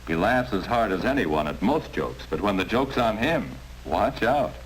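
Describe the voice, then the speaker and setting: A man narrating an educational film in a measured, instructive way. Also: on the low side, very animated, slight background noise, rough recording.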